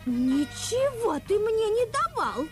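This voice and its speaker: A piercingly high, squeaky monkey girl for a children's radio play. She is stubborn and easily offended, swinging widely in pitch with impatient emphasis. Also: very animated, slow pace, faint music behind, exaggerated voice. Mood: impatient, indignant. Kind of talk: children's audio play acting.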